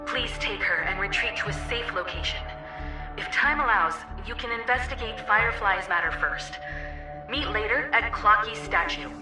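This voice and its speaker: A woman's voice: medium pitch, normal speed, long pauses, music in the background, natural voice. An actress playing a composed, decisive woman leader in a video game, giving instructions in a businesslike way. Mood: calm, decisive. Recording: echoey, distant, relayed-message sound.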